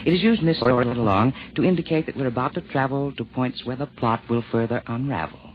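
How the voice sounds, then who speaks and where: An actor playing a wry, chatty narrator from hell in an old radio comedy, speaking in theatrical rhymes. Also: male voice, medium pitch, quick pace, background noise, natural voice. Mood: mock formal. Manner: playful, theatrical rhyming asides. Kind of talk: 1940s radio comedy acting. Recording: muffled old-time radio recording.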